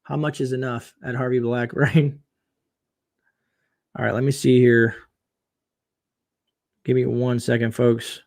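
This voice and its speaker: A man hosting a late-night livestream, his voice sitting at an ordinary middle pitch. He talks loosely and chattily, with lots of fillers, and reads viewer comments aloud. His voice is going a little hoarse. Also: little pitch movement, measured pace, long pauses, a little mellow. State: voice getting hoarse. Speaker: livestream host.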